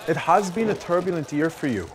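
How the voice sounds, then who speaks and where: An actor playing a sceptical sports reporter in a video game, putting a formal, pointed press conference question with hesitations. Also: male voice, on the high side, animated, fairly quick, natural voice. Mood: probing.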